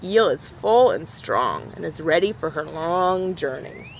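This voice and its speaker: A woman with a slightly low voice reads a picture book aloud for children. She addresses her listeners directly in an explanatory way. There is some ambient noise behind her. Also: animated, a little slow, low-quality recording. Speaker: storybook reader for a nature organization.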